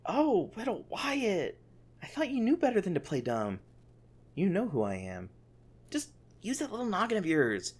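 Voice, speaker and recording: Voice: mid-range voice, wildly swooping pitch, moderate pace, darkish tone, breathy edge. Swooping, sadistic, sing-song monster. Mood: taunting. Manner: theatrical, taunting, sing-song pet names. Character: gleeful sadistic monster captor, mocking, cloyingly affectionate. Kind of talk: ASMR roleplay audio drama.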